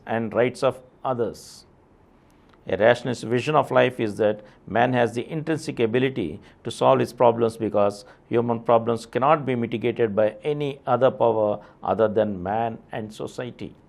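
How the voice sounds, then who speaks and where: A man with an Indian accent reads an essay aloud in a creaky, gravelly voice of medium pitch. His delivery is formal and declamatory, with deliberate phrases that start with emphasis and trail downward. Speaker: reader presenting an article. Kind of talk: scripted reading of an essay.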